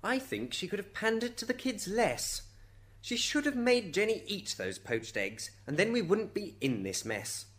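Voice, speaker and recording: A man playing a self-justifying husband and father on the witness stand in a radio drama, defensive and quick to blame. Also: sits high, very animated, fairly bright tone. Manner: defensive, blaming.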